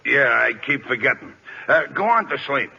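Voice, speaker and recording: An actor playing a gruff, good-natured American baseball catcher in slangy, ungrammatical 1940s radio comedy style. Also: male voice, mid-range voice, animated. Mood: wry, curious. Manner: slangy, ungrammatical, drawn-out hesitations. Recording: dull, hissy old radio recording.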